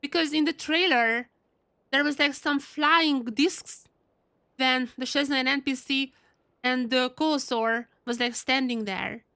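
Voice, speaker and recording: A woman's voice: high-pitched, unhurried, bright, forceful tone, dry recording. A woman doing casual live game commentary for her chat, repeating phrases as she thinks aloud, her voice a little worn from a cold. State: getting over a cold, voice wearing out. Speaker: game streamer.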